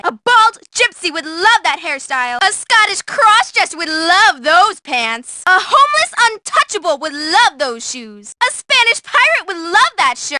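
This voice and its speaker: Bookish teenage schoolgirl, piercingly bright, swooping intonation, melodramatic, haughty, video game character. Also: very high-pitched, no room sound, full character voice. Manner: verbose, theatrical, haughty.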